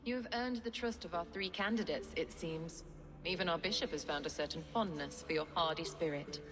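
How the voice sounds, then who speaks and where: A woman playing a composed, pious abbess in a video game, speaking in a measured, formal manner. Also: on the low side, lightly acted. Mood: solemn, formal. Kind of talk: video game cutscene acting.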